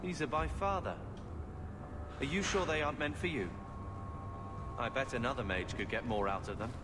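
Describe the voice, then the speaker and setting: An actor playing a sardonic young warrior in a fantasy video game, speaking in a dry, guarded yet expressive way. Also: male voice, mid-range voice, normal speed, background noise, close to an everyday voice.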